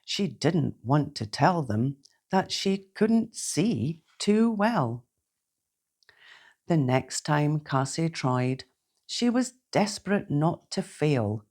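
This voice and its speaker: A woman reading a children's bedtime story aloud in a gentle, theatrical, deliberate way. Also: low-pitched, very animated. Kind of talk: expressive read-aloud bedtime storytelling in rhyme.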